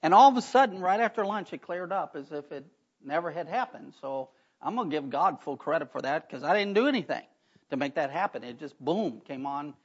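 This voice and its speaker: A man preaching a sermon in a church, hoarse from allergies and talking in a folksy way with chatty asides. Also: on the high side, expressive, brisk. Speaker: pastor. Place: church sanctuary. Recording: dull lo-fi recording.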